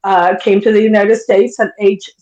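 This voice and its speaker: A woman's voice: mid-range voice, level tone, normal speed, warm tone, echoey room, lo-fi sound. A woman in her fifties from Argentina, a therapist guest talking bluntly and directly, swearing freely. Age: 50s. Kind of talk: candid interview talk.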